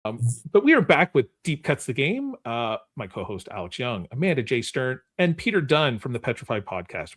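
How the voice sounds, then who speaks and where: Male podcast host, casual game-show chat, very lively intonation, mid-range pitch.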